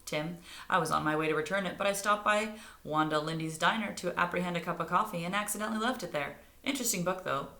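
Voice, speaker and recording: A woman reading a storybook as an official, deadpan male police officer. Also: lowish voice, quick pace, reverberant.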